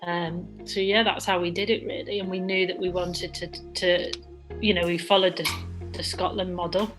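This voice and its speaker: Woman entrepreneur from Wales, interviewee, low steady voice, casual rambling answers over background music. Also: normal speed, rough recording. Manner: conversational, frequent restarts and fillers like "sort of" and "really". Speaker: social enterprise co-founder. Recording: music playing under the voice.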